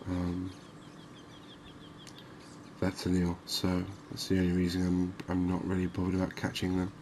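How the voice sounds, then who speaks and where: A soft-spoken man giving unhurried, soothing ASMR commentary over a video game. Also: sits low, completely flat delivery, slight background noise. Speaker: gaming video host.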